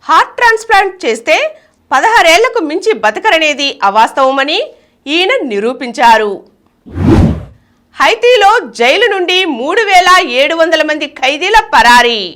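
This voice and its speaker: High, bright-voiced woman reading news. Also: very animated, normal speed. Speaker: news reader.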